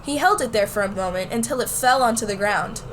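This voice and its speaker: A woman's voice: fairly high pitch, brisk, a bit bright, slight background noise, close to an everyday voice. A woman playing a nervous, bewildered schoolgirl who tells her own story in an amateur radio play. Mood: narrating. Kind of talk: first-person story narration and acted dialogue in an amateur radio play.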